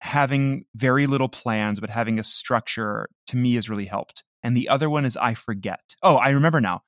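A man chatting casually and reflectively as an interviewed comedy creator, sometimes halting as he thinks. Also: mid-range voice, fairly bright tone, dry recording, clean studio sound.

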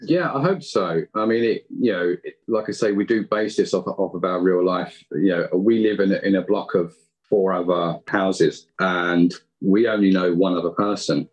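A man giving relaxed interview answers as the writer of an audio drama. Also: low-pitched, normal speed, breathy edge, poor audio. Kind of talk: casual interview talk.

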